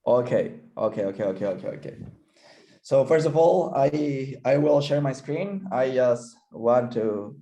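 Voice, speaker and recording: A man whose first language is Spanish, speaking English with a Spanish accent, gives an online physiotherapy lecture, often halting and repeating words as he searches for English. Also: medium pitch, animated, mellow, reverberant, slight background noise. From Chile. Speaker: physical therapist presenter.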